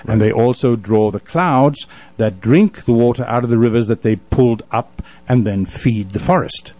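A man's voice: mid-range voice, normal speed, dark tone, no room sound. A man hosting a casual radio interview, chatting in a jovial and opinionated way. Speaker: radio show host.

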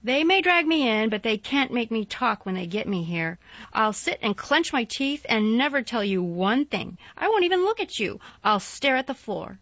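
A woman's voice: fairly high pitch, expressive, a little slow, a bit bright, no room sound, full character voice. A woman voicing an obstinate, sour little girl in a storybook reading, speaking sharply and stubbornly. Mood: defiant. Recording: dull, dry, lo-fi sound.

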